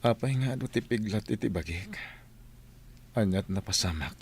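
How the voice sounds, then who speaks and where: A man's voice: lowish voice, expressive, moderate pace, slightly rough. A man with an Ilocano Filipino accent playing a bewildered, injured amnesiac in a radio drama. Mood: dazed.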